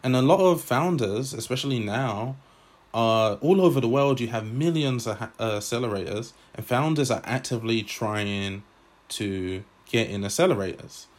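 A man hosting a podcast, reading a scripted intro and then asking interview questions in a measured, upbeat way. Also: mid-range voice, lively intonation. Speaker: podcast host.

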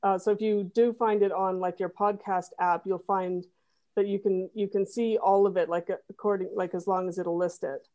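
A woman's voice: mid-range voice, fairly even intonation, moderate pace, dark tone. A woman guest on a podcast explaining how she runs her site, rambling with fillers. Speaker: podcast guest, web designer. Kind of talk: casual interview.

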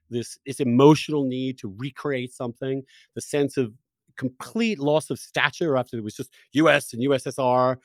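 A man's voice: mid-range voice, darkish tone, no echo. An American man hosting a casual political interview, talking in a discursive, opinionated, searching way. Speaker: interviewer, host.

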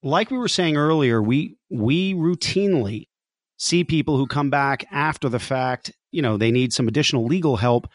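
A man's voice: mid-range voice, moderate pace, no echo. A man co-hosting a radio show in a casual, conversational interview style. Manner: joking, sarcastic. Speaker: podcast and radio co-host.

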